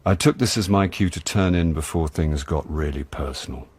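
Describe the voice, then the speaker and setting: A man's voice: deep voice, normal speed, darkish tone, breathy edge. An actor playing a wry middle-aged British man, a jaded dad and amateur sleuth, in a radio drama with first-person narration. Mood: wry narrating. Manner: dry, wry, sardonic.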